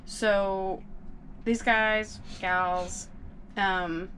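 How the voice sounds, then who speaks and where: A young woman sitting in a small boat cabin, casually reviewing craft beer with a relaxed, laughing manner. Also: medium pitch, slight background noise, rough recording. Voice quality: relaxed, laughs often, drawn-out fillers.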